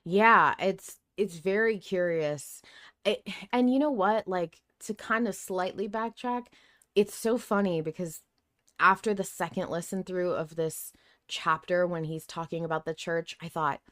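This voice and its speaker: A woman podcast host in casual fan discussion, telling things in an animated way with quoted asides. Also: lowish voice, measured pace, dry recording, studio quality.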